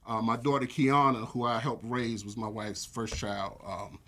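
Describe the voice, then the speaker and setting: An American man in his forties, a church elder, telling relaxed stories with lots of fillers in a casual interview. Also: mid-range voice, normal speed. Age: 40s. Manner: relaxed storytelling with frequent fillers.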